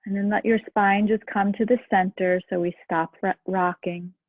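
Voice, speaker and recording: A woman leading a guided meditation and breathing practice, speaking softly and soothingly. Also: medium pitch, fairly even intonation, dry recording. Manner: slow, soft and soothing. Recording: dry, phone-like sound.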